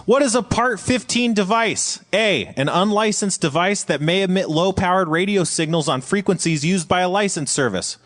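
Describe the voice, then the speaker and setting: Man, moderately high voice, casual podcast panelist, reads quiz items aloud. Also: animated, normal speed, few pauses, fairly bright tone. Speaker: quizmaster and panelist on comedy podcast. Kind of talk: casual chat, reading quiz questions aloud.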